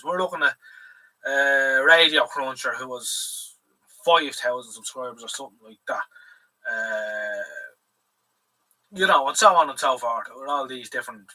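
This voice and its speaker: A man's voice: mid-range voice, sharp tone. An Irish man hosting a live stream, chatting in a rambling, casual way and swearing freely. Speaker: livestream host. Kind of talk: casual livestream chat. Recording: voice a bit far from the mic.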